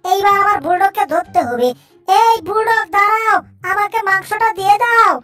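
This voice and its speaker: Very squeaky hungry baby crocodile. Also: normal speed, music in the background. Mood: determined. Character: hungry, mischievous baby crocodile, eager and single-minded. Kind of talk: cartoon dub in Bangla.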